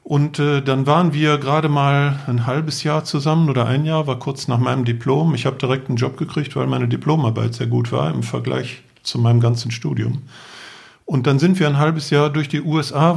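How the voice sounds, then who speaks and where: A man's voice: mid-range voice, fairly even intonation, brisk, vocal fry, a little mellow. A man, telling casual anecdotes as a podcast guest. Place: living room.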